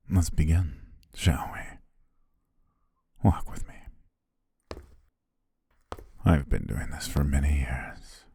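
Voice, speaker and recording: A man playing a courteous, devious hypnotist in an ASMR roleplay, speaking in a soothing, breathy whisper with genteel, deliberate pauses. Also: warm tone, no room sound, studio quality, heavily acted. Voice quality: whispery, breathy, very low and gravelly. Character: devious, courteous male hypnotist welcoming a client.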